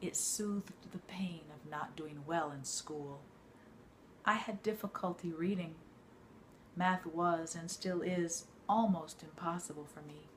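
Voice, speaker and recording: A woman with a notably low voice reads an autobiography aloud. Her delivery is measured and expressive, like a teacher telling a story to a class. There is faint noise behind her voice. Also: poor audio. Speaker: teacher reading aloud to students.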